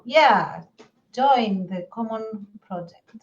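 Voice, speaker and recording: A woman's voice: on the high side, expressive, drawn-out words, crowd noise. A woman co-hosting a podcast in a casual, chatty way. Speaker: podcast co-host. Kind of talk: casual chat. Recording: muffled, echoey, far from the mic.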